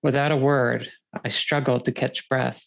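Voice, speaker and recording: A man's voice: medium pitch, level tone, a little slow, vocal fry. A man who is a poet, speaking and reading his own work at a poetry reading. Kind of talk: poetry reading with brief thanks. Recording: dull, muffled audio.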